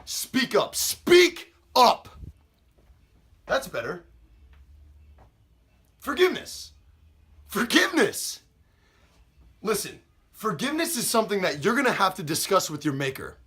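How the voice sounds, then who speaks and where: A man's voice: high-pitched, very animated, brisk, bright, forceful tone, voice pushed up, full character voice. A man performing a dramatic monologue as a menacing, gloating killer with an American accent, taunting and mock-polite. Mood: taunting, threatening. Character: menacing, gloating male killer toying with his victim.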